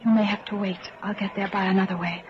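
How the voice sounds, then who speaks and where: An actress in a 1940s radio drama playing a bold, rebellious woman in her twenties, brisk, blunt and secretly amorous. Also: mid-range voice, flat delivery, natural voice. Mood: brisk, secretive. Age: 20s. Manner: brisk, blunt, matter-of-fact. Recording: muffled, noisy 1940s radio broadcast recording.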